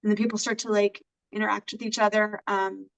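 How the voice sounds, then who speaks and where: A woman co-hosts a casual movie review chat over a remote call. She speaks hesitantly and fills her pauses with "um" and "like". Her voice sits at an ordinary pitch and sounds set back from the microphone. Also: little pitch movement, vocal fry. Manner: hesitant, many fillers. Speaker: co-host. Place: in California. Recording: voice distant from the mic.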